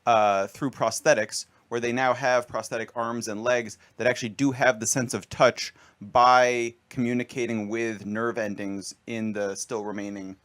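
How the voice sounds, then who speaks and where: Male radio co-host, mid-pitched, wry and explanatory, casual banter. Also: level tone, moderate pace, hardly any pauses, fairly bright tone. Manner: wry, explanatory, riffs on tech news.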